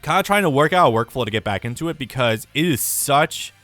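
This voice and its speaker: A young man with a tired, raspy voice, talking casually and rambling to his gaming channel's viewers, with lots of fillers and some swearing. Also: mid-range voice, animated, a little fast, fairly bright tone, dry recording, faint music behind. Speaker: gaming YouTuber. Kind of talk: casual year-review vlog.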